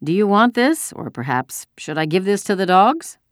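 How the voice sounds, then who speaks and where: A bullying enemy soldier from a storybook, acted out by a woman, barks his words in a raised, forceful pitch. He is harsh, suspicious and full of scorn, recorded dry and close. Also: sing-song, moderate pace, no room sound, close to an everyday voice. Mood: barking, mocking. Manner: barking, snapping, scornful. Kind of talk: audiobook character dialogue.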